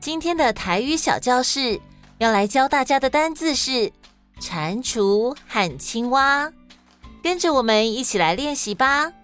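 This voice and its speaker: A woman with a Taiwanese Mandarin accent narrating and explaining in a scripted, expressive style for children. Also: high voice, unhurried, clean tone, faint music behind. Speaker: children's story podcast host and narrator.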